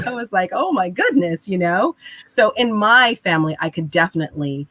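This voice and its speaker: A woman's voice: on the low side, normal speed, no echo, low-quality recording. A woman guest on a panel, telling a family story in a chatty way with many restarts. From United States. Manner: chatty, animated retelling with restarts. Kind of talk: conversational storytelling.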